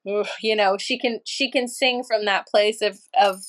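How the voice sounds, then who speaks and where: A woman from Los Angeles, a podcast guest, telling stories in a lively, casual way with easy swearing and laughter. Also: mid-range voice, normal speed. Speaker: podcast guest, energy healer and channeler. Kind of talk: casual storytelling chat.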